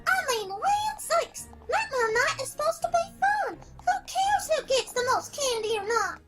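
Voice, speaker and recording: A woman's voice: very high-pitched, big pitch swings, a little slow, fairly bright tone, heavily acted. A woman voicing a feisty, indignant little country filly, in a fan fiction reading. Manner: folksy, exasperated. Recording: prominent music behind the voice.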